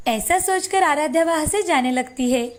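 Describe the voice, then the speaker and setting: A woman narrating a children's moral story in a steady, expressive scripted reading. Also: high-pitched, normal speed.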